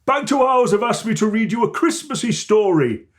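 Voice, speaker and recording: A man reading a children's storybook as Father Christmas, with theatrical, deliberate and expressive phrasing. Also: high voice.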